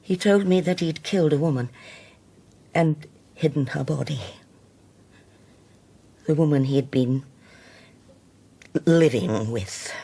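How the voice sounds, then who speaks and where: Low, husky older Scottish widow. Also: female voice, slow, pauses often, dark tone, heavily acted. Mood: anguished, resolute. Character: grave, devout older widow confessing a terrible deed. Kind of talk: naturalistic radio drama acting.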